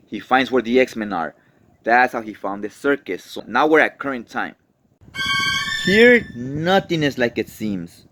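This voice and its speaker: A man hosting a recap show, retelling comic book stories in an informal, slangy, conversational way with rhetorical asides to the listener. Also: medium pitch.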